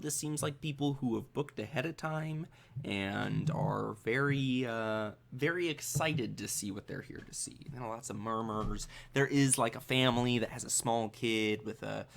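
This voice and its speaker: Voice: mid-range voice, creaky, fairly bright tone. Conversational game master, improvising narration. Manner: hesitant, conversational.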